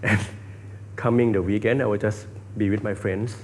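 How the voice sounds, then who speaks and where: A man's voice: on the low side, fairly even intonation, normal speed, a little hiss or hum. A Thai man with a Thai accent, hosting a casual interview in English as a second language, hesitant with many fillers. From Thailand. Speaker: interview host.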